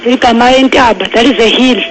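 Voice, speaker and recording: A woman's voice: on the high side, fairly quick. A woman with an Eastern Cape South African accent, explaining things on the phone firmly and matter-of-factly, mixing in English. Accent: South African Xhosa-speaking, Eastern Cape. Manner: matter-of-fact, firm, mixes in English. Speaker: municipal employee taking a call. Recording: very noisy phone call over radio.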